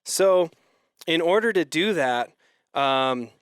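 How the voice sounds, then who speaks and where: A man giving a relaxed, hands-on tutorial, explaining each step as he patches, with the odd "um". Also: fairly high pitch, slow, clear, resonant tone, dry recording, studio quality. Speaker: synth module demonstrator.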